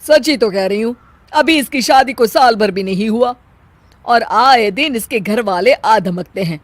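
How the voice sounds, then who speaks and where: A woman's voice: fairly high pitch, a little slow, dry recording. A woman voicing a scheming, grumbling middle-aged mother-in-law in a story, with sarcastic, muttering asides. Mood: indignant.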